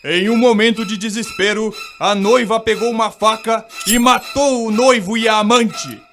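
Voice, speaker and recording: A man's voice: high-pitched, steady tone, normal speed, a bit bright. A man with a Brazilian accent giving dramatic scripted narration of a ghost story. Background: music.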